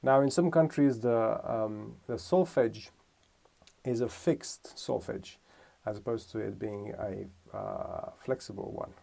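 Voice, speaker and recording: Gravelly man musing through a lesson. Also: fairly low pitch, slow pace, warm tone. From Romania. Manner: thinking aloud, self-correcting. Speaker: music teacher. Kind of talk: casual music lesson.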